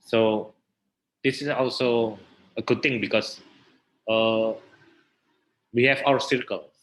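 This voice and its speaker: Slow-talking man with an Indonesian accent. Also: mid-range voice, fairly even intonation, fairly bright tone, low-quality recording. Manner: halting, searching for words in non-native English. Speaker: interview guest, theatre researcher and festival director. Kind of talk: explanatory interview talk.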